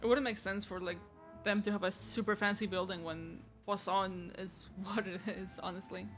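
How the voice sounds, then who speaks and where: A woman streamer comments casually on a game in a medium-pitched voice. She is offhand and slangy as she reacts, with game music clearly present behind her. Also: steady tone, normal speed.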